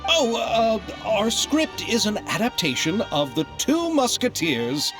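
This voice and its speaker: A man's voice: sits high, huge pitch swings, takes it slowly, full character voice. A man voicing an eager, fawning film producer in a video game, apologetic, deferential and effusive. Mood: sheepish, apologetic. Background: background music.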